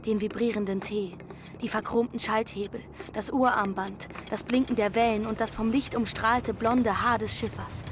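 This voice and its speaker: Brief: woman as stubborn, dreamy teen runaway, pert radio drama delivery, loud engine and water behind. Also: fairly high pitch, fairly even intonation, normal speed, noisy background, lightly acted. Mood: reflective narration. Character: cheeky, dreamy teenage runaway girl, restless and stubborn. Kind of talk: radio play acting and first-person narration.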